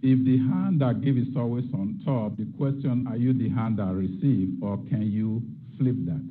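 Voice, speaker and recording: A man's voice: medium pitch, moderate pace, narrow, tinny audio, background noise. A middle-aged man from Nigeria, giving an academic lecture and posing rhetorical questions as a guest lecturer. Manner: reflective, asks rhetorical questions.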